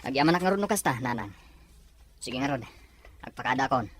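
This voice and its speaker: A man's voice: mid-range voice, animated, fairly quick, fairly bright tone. A man playing a concerned, dutiful father in an Ilocano drama, speaking earnestly. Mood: earnest request. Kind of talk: Ilocano radio drama acting.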